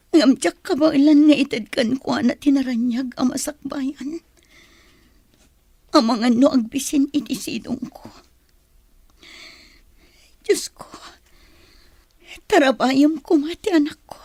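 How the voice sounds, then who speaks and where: Woman acting grieving mother, high pitch, unhurried, tearful breathy whisper, dry close sound, audio drama. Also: a little mellow, dry recording. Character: sorrowful, guilt-laden mother who gave up her child.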